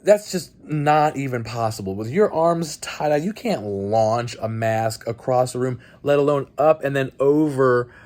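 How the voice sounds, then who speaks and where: A man hosting a casual movie review vlog, speaking bluntly in a halting, conversational way. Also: mid-range voice, animated, darkish tone. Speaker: host of a movie night video channel.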